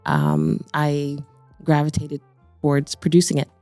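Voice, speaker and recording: A middle-aged woman, a photographer born in Guyana, telling her story in an interview in a reflective, unhurried way. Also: low voice, big pitch swings, mellow, dry recording, soft background music. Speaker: photographer guest. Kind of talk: interview conversation.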